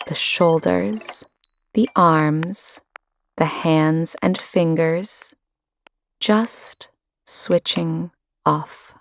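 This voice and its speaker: A woman leading a guided sleep meditation, calmly giving instructions in short phrases with long pauses. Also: low voice, vocal fry, slight background noise.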